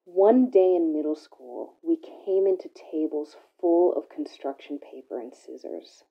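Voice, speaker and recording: Low, slow woman reading reflectively. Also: lively intonation, vocal fry, phone-quality sound. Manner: measured, pensive, with pauses. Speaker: reader of a spoken interlude.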